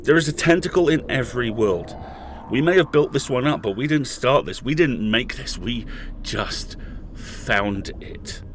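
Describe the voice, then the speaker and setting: A man's voice: medium pitch, animated, measured pace, slight background noise, lightly acted. A man playing a bitter, furious captive freed after years, speaking with dramatic emphasis in an audio horror drama. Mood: grave, intense.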